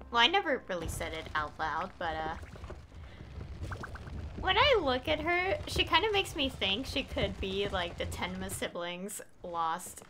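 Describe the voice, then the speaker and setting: A woman game streamer chatting casually in a rambling way during a livestream. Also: mid-range voice. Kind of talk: casual livestream chat and read-along.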